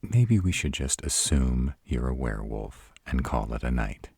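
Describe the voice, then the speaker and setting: A man's voice: rumbling low, big pitch swings, measured pace, gravelly, darkish tone, husky, dry recording, full character voice. A man playing a sarcastic, proud city-bred vampire in a scripted audio roleplay, with dry, sardonic delivery. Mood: wry, sardonic. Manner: sardonic, dry, rhetorical questioning.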